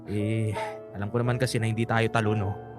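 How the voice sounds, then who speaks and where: A man's voice: on the low side, little pitch movement, brisk, natural voice. An actor playing a cocky, playful young Filipino man in a Taglish radio drama, teasing and sheepishly hesitant. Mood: explaining, teasing. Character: Eros, cocky, playful young male friend. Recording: faint music behind the voice.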